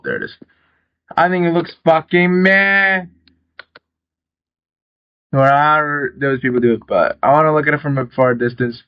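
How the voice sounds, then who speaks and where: A young man with a slightly high voice talks his way through a car-building game, loose and rambling, with casual swearing thrown in. The sound is dry and close, with a somewhat dull, muffled tone. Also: dry recording. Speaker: gaming channel host. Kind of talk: casual gameplay commentary.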